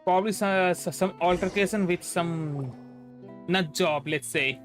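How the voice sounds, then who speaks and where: A man's voice: sits high, measured pace, faint music behind. A man chatting casually as he streams a game. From India.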